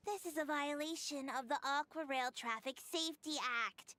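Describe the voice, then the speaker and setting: A woman's voice: sits high, moderate pace, sharp tone, a little hiss or hum. A woman playing a small, polite, dutiful female waterway officer in a video game, speaking formally like an announcer. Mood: polite, official. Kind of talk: video game character acting. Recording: game music behind.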